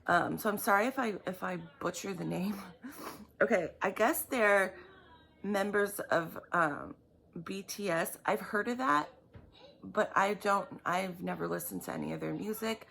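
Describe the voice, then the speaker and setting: A woman giving a tarot reading in a chatty, rambling way, apologizing often, her voice raspy from just waking up. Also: mid-range voice, measured pace, a bit bright, slight background noise. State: raspy morning voice. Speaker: tarot reader. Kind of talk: casual vlog talk.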